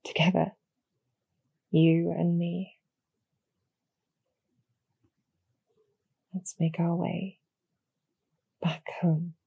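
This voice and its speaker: A woman playing a calm, courteous female demon general in a roleplay audio, speaking softly with measured, gently formal persuasion and a husky, creaky edge. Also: lowish voice, no echo, dull audio, full character voice. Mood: pleased, soft. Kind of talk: slow, soothing scripted roleplay audio for sleep.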